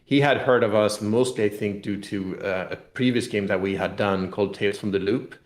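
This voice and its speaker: In a very echoey room, a man with a Swedish accent talks in a lowish voice. He explains things casually as an interview guest, often restarting and restating his phrases. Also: brisk. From Sweden. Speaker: guest, game designer and company head.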